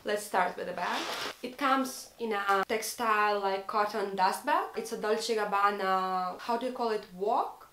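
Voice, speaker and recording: A woman's voice: medium pitch, measured pace, fairly bright tone, roomy sound, slight background noise, poor audio. A young woman from Russia with a Russian accent, chatting earnestly in a fashion haul vlog from her bedroom, sometimes searching for English words. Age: young adult. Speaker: fashion vlogger. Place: bedroom, indoors.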